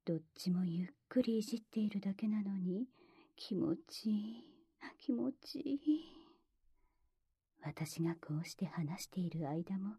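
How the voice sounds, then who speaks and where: A woman performing erotic ASMR roleplay in a breathy whisper, with a teasing, gently commanding big-sister manner, close on the mic. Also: medium pitch, a little slow, dry recording. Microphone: close mic, dry.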